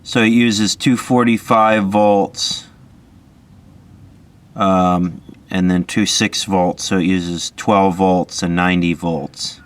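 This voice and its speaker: Man, deep voice, slow halting delivery, casual matter-of-fact repair demo, picked up on a camera mic with noise behind. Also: fairly even intonation. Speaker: host of a vintage radio repair video. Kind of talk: casual unscripted demonstration narration. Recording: clearly audible background noise.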